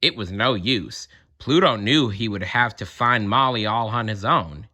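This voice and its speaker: A man reading a children's story aloud in an expressive narrating style. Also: lowish voice, measured pace. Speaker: storybook reader.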